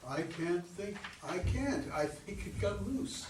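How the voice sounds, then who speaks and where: A man playing a flustered, halting, lovestruck dance partner in a staged play reading. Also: high voice, lively intonation. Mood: flustered, insistent. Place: echoey room at a live reading. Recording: roomy, distant mic, faint noise.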